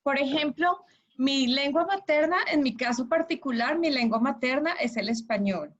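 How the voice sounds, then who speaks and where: Higher-voiced Colombian woman teaching online. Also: normal speed. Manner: encouraging, checks understanding with questions. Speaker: language teacher leading an online class. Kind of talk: interactive lecture. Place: online video class with slides. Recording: dull, lo-fi online class audio.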